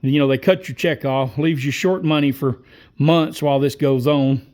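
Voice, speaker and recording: A man, telling a long personal story in a folksy, rambling way with frequent pauses. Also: mid-range voice, fairly even intonation, a little mellow. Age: 60s. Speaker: vlogger. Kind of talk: casual storytelling monologue.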